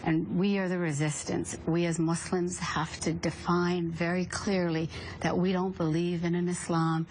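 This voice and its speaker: Lady guest on a news show, urdu native, lowish voice, earnest and emphatic. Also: level tone, a little slow, a little mellow, slight background noise, low-quality recording. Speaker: guest, reform activist. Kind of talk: broadcast interview answer.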